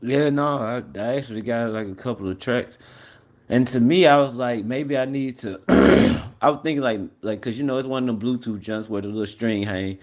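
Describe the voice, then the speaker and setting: A man's voice: medium pitch, moderate pace. A man chatting casually with a friend, rambling and slangy, restarting his sentences. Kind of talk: casual chat.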